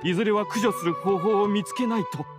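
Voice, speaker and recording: A man's voice: sits high, fairly quick, close to an everyday voice. A man playing a polite, earnest investigator in an anime game, explaining carefully with some disapproval. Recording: game music behind the voice.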